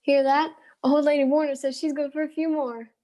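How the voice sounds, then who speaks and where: Woman playing a high-voiced American farm wife. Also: level tone, brisk, dark tone, natural voice. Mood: forthright, neighborly. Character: forthright, neighborly farm wife. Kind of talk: amateur stage-play acting.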